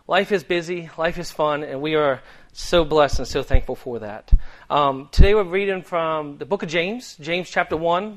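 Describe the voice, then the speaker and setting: A man's voice: a little high, a bit bright. A man in his thirties from Delaware with a Boston accent, preaching a sermon in an earnest, conversational way with frequent ums. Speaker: guest preacher.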